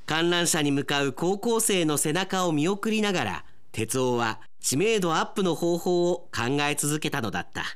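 A man's voice: a little high, lively intonation, moderate pace, hardly any pauses, a bit bright, clean tone. A man reading dramatic scripted narration for a Japanese radio drama.

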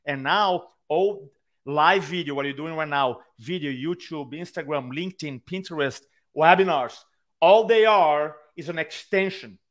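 Brazilian guy coaching on a video call, animated, acts out quotes, kinda high voice. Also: unhurried. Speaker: speaking coach. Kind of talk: podcast interview. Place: his own room on a video call.

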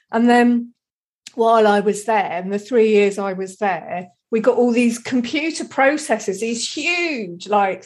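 A middle-aged woman from Kent with a southern English accent, chatting casually and reminiscing in a rambling, easily amused way. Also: medium pitch. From Kent, England.

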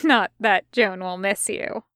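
A woman's voice: medium pitch, steady tone, slow pace, pushed and bright, dry recording, studio quality. A woman voicing a breezy female covert agent and kidnapper in an audio story, sardonic, condescending and sweetly chatty. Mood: smug, chatty.

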